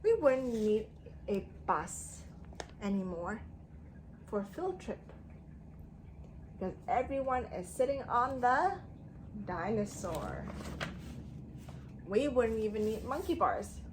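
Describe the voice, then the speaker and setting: A woman teacher reading a story aloud to young children in an expressive way, using simple phrasing and putting questions to them. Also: on the high side, takes it slowly, long pauses, slight background noise, low-quality recording. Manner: expressive, emphatic delivery.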